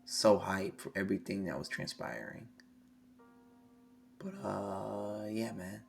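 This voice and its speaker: A hoarse young man on a live stream, reading a manga chapter aloud and reacting excitably, swearing freely. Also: lowish voice, slow pace. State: hoarse, losing his voice. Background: faint music.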